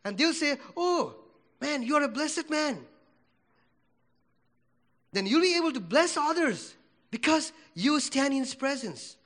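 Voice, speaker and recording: A man from Nepal with a Nepali accent, for whom English is not his first language, preaching a sermon in a church, swinging from loud declarations to hushed prayer. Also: extremely high pitch, very animated, a little slow, long pauses.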